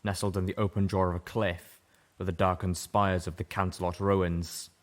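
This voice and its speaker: A man reading a story aloud in first person, steady and measured. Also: low-pitched. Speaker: audiobook reader. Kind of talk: first-person narration of fan fiction.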